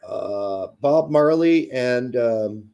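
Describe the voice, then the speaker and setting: A man co-hosting a casual live match watch-along, mixing crude jokes with excited play-by-play calls. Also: mid-range voice, drawn-out words, clear, resonant tone.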